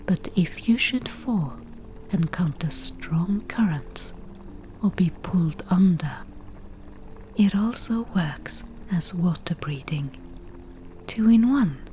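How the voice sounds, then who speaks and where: Woman acting as a forest witch, whispered ASMR roleplay, low and mellow, confident, slightly prickly, faint ambient noise. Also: expressive. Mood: musing, eager. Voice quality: soft, breathy whisper. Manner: slow, unhurried, murmuring.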